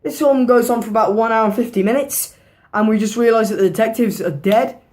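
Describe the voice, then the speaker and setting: A woman with a hoarse, worn-out voice, giving a casual movie review in a rambling way, swearing freely and repeating herself. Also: fairly high pitch, fairly quick, a little mellow. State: hoarse, losing her voice.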